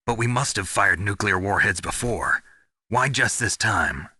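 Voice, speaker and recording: A world-weary special forces veteran from a video game. His voice is deep and gravelly with a hard, very sharp edge, recorded close and dry. He speaks in terse, deadpan phrases. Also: a little slow, no room sound, heavily acted. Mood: questioning, guarded. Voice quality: gravelly, husky. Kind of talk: dramatic video game voice acting.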